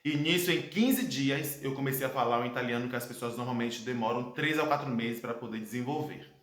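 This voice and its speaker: A man in his thirties from Brazil with a Brazilian Portuguese accent, recounting his life abroad as a personal testimony, earnest and reflective, with dramatic pauses. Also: mid-range voice, fairly bright tone. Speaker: vlogger telling his life story. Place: his home, indoor room. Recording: echoey room.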